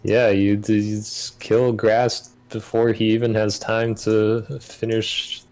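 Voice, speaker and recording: A man's voice: on the low side, completely flat delivery, slow pace, darkish tone. A young man chatting casually and a little hesitantly as a co-player in a gaming video. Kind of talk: casual chat. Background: game music.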